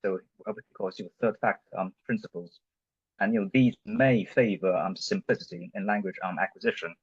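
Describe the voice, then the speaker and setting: A man whose first language is Chinese, giving an academic conference talk over a video call, halting and filling pauses with lots of "um" and "you know". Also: on the low side, husky, no echo, muffled recording. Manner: halting, heavy with fillers. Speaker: conference presenter. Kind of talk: academic lecture.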